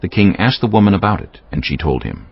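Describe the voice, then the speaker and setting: A man's voice: low voice, big pitch swings, fast talker, vocal fry, slightly rough, no room sound, dull audio. A man giving scripted narration of scripture as an audiobook narrator.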